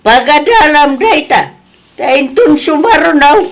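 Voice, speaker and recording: A woman's voice: sits high, lively intonation, measured pace. A woman acting an older Ilocano mother in a drama, plain-spoken, advising and consoling her grown child. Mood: gentle, resigned.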